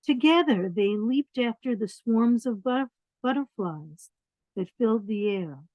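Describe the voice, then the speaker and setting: A woman reading picture books aloud to young children, expressive, pausing to explain things in simple words. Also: fairly high pitch. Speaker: children's storyteller. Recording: dull, roomy lo-fi sound.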